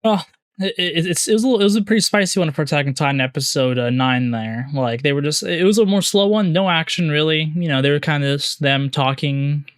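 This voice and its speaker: Slightly high-voiced man, rambling casually. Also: smooth, dry recording, studio quality. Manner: halting, rambling, often repeating himself. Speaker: podcast co-host. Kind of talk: casual chat with slang.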